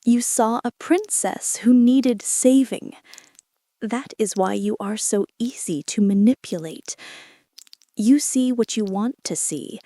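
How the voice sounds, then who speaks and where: Woman playing a slow, seductive, commanding crime heiress. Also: on the high side, mellow, dry recording. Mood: cold, triumphant. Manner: slow, deliberate, intimate, often dropping into whispers. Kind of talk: ASMR roleplay, scripted dramatic monologue.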